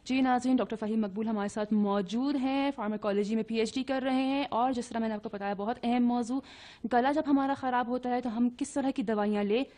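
Fast-talking mellow female TV host. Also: on the high side, steady tone. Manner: brisk, affirming, quick interjections. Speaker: TV show host. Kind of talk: talk show hosting. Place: TV studio.

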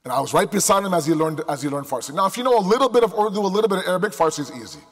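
High-voiced male preacher in a reverberant hall. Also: animated, racing. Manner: emphatic, with rhetorical questions and repetition. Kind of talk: sermon to a live congregation. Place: large indoor hall before a crowd. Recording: echoey hall with long reverb.

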